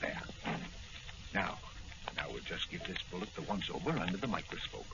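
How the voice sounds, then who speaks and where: An actor playing a kindly old apothecary doctor who muses and hesitates, in 1940s radio drama style. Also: male voice, low voice, animated, normal speed, vocal fry, lo-fi sound. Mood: musing. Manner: musing, hesitant, humming to himself. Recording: noisy, band-limited old-time radio recording.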